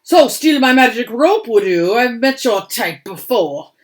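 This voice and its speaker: A fierce old storybook tiger played by a woman blusters and accuses. The voice is loud and threatening, rising toward a roar with big swings in pitch. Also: lively intonation, unhurried. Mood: furious.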